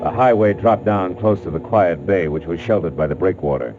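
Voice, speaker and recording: Man playing a low-voiced, wry hard-boiled radio detective. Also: brisk, natural voice. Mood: wry narrating. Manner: wry, deadpan, wisecracking. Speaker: detective and narrator. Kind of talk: 1940s radio acting with first-person noir narration. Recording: muffled, narrowband old radio recording.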